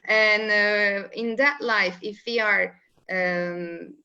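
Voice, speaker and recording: A woman from Turkey with a Turkish accent, speaking English as a coach being interviewed, explaining in an animated way with halting non-native phrasing. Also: mid-range voice. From Istanbul, Turkey. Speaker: spiritual coach, interview guest. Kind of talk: explaining in a live online interview. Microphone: video call. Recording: dull, lo-fi video call audio.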